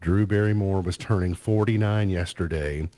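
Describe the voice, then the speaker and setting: A man's voice: low voice, fairly even intonation, normal speed, dark tone. A man hosting a radio show, chatting casually through entertainment news in an easygoing way and sometimes trailing off mid-sentence.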